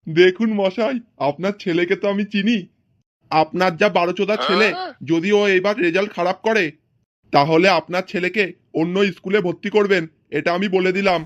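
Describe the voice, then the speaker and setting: A man's voice: high-pitched, fairly even intonation, brisk, dull audio, natural voice. A man voicing an irritable, foul-mouthed, scolding schoolteacher in a comedy cartoon. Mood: irritated. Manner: scolding, brusque.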